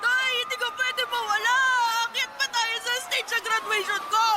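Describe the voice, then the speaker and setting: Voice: very high-pitched, fairly even intonation, moderate pace, a crowd in the background. An actor in a radio drama playing a distraught teenager crying and screaming for a dying father. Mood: desperate panic.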